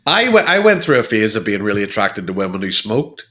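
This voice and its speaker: A man's voice: on the low side, sing-song, fairly quick, pushed and bright. Chatty, wry man. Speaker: podcast co-host. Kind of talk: casual banter.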